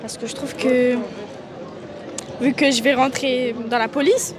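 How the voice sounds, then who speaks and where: A woman's voice: high voice, fairly even intonation, measured pace. A young woman answering a street interview outdoors, matter-of-fact. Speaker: passerby interviewee. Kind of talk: casual street chat. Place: outdoor city square. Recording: busy street crowd noise.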